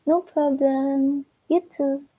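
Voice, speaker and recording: A woman's voice: sits high, level tone, extremely slow, narrow, tinny audio. A woman from Singapore with a Singaporean English accent giving casual tarot readings on a livestream. She chats loosely, fills with "like" and giggles often. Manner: chatty, giggles often, many "like" fillers. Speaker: tarot reader.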